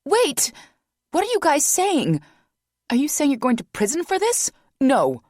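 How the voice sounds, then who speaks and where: A woman voicing a passionate young woman who pleads in a high, loud, desperate voice. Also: very animated, a little fast, no room sound, studio quality.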